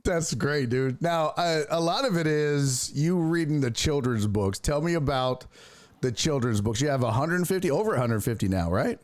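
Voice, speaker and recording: A man's voice: a little high, expressive, moderate pace, dry recording. A man hosting a sports and entertainment talk show, chatting loosely with a guest, swearing casually and laughing easily. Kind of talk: casual interview chat.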